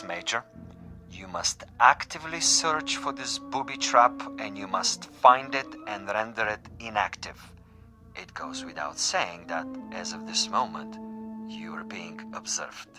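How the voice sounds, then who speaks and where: A man's voice: lowish voice, animated, unhurried, over music. An actor playing an urbane, sadistic assassin who taunts his prey in formal, precise, theatrical phrases in a suspense radio drama. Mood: coolly menacing.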